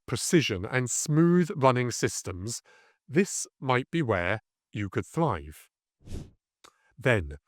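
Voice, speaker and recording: Man, career coach, scripted educational delivery, animated intonation, deliberate emphatic phrasing, dry recording. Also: medium pitch, dry recording. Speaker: career coach presenting a lesson.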